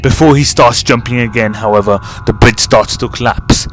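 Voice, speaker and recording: A man's voice: mid-range voice, normal speed, rough recording. A man reading scripted narration for an amateur audio drama. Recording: music behind the voice.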